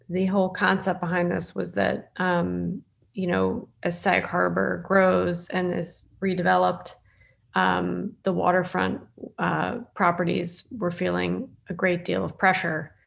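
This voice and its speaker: A woman chairing a meeting on a video call, speaking informally with frequent pauses mid-sentence. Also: fairly low pitch, vocal fry. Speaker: planning board chair. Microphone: video call microphone.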